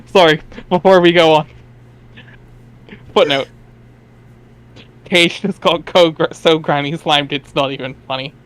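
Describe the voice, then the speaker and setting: A man's voice: fairly high pitch, steady tone, a little slow, pauses often, fairly bright tone, clean tone. A man in casual banter during a group reading, wry, jokey and sweary.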